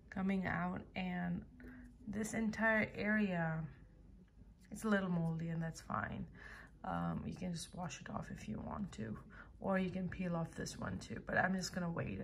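A woman at home at a wooden table, casually demonstrating a gardening task at an unhurried pace with many fillers and self-corrections. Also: lowish voice, gravelly, slight background noise.

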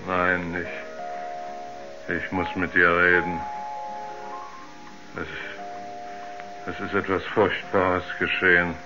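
Doomed astronaut, anguished, halting, very low, flat pitch, old radio play. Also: male voice, over music. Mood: desperate. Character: tormented doomed male astronaut confessing dark horror. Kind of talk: 1970s German radio drama acting. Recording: muffled old radio play, faint noise.

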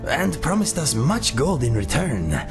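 An actor playing a guilt-ridden cat-man mercenary in a fantasy game, speaking gravely and formally. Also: a little high, huge pitch swings, a little slow, music in the background, full character voice. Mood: somber, explanatory. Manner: formal, grave, avoids contractions. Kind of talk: fantasy game character dialogue.

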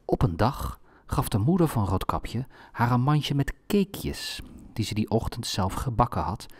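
Male storyteller, unhurried pace, mid-pitched, measured scripted delivery for a fairy-tale audiobook. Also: sing-song, darkish tone, slightly rough.

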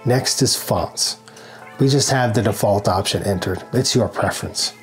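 A man's voice: lowish voice, measured pace. A man in his forties giving scripted, instructional narration to camera as a tutorial presenter. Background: background music.

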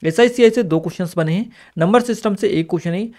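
A man's voice: sits high, fast talker, a little mellow. A man giving an instructive exam-review lesson, reading notes aloud and explaining them as a coaching teacher. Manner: instructive, repeats key points.

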